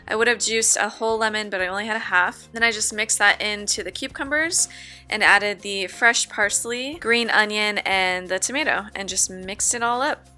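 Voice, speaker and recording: A woman's voice: medium pitch, normal speed, pushed and bright, faint music behind. A young woman in her twenties casually talking viewers through a simple meal prep in her home kitchen. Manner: chatty, explaining steps to viewers. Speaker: vlogger. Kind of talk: casual cooking vlog.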